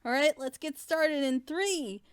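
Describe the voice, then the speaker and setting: A woman's voice: high-pitched, unhurried, slight background noise. A woman hosting a casual reaction session with friends, rambling along in a giggly way. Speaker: host of a group reaction show.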